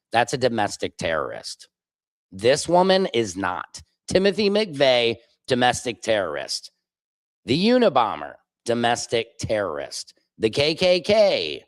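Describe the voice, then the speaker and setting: A man hosts a political livestream from a laptop at home, his voice heated and indignant as he argues back at clips and chat. The sound is close and dry, with no room echo. His pitch sits at an ordinary middle level for a man. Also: fairly bright tone. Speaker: livestream host.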